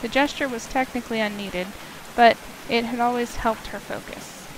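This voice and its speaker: High-pitched woman narrating a story. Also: steady tone, normal speed, noisy background. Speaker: narrator, game player. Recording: loud music and rain behind. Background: game music and rainfall.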